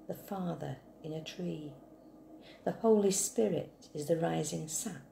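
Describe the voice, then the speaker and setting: A woman reading a poem aloud in a measured recitation style. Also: deep voice, creaky, warm tone. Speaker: poetry reader. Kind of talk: slow, measured poetry recitation. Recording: echoey room, faint background hiss.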